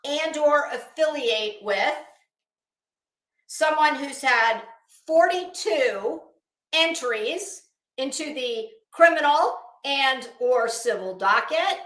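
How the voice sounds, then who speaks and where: A woman's voice: sits high, animated, slow pace, a bit bright. A woman livestream host on a casual rant to her chat, blunt and coarse, with an emphatic, sarcastic, challenging delivery. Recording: echoey room.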